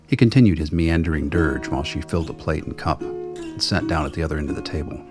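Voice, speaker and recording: A man's voice: extremely low pitch, quick pace, over music. A man narrating a scripted radio drama as its storyteller.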